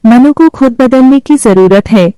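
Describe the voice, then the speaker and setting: A woman's voice: on the high side, measured pace, mellow, no room sound, faint music behind, lightly acted. A woman voicing a blunt, impatient sister-in-law who scolds in a cartoon. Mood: stern.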